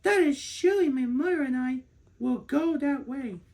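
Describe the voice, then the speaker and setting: A man's voice: extremely high pitch, moderate pace, gravelly, a little mellow, pitched above the performer's own voice. A man voicing a small storybook child who is searching for mother. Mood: hopeful.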